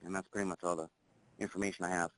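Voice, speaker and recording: A man's voice: deep voice, little pitch movement, very fast, fairly bright tone. A man playing a middle-aged dentist with an American accent, giving a hesitant, matter-of-fact witness report in a simulated phone call. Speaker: caller reporting an incident.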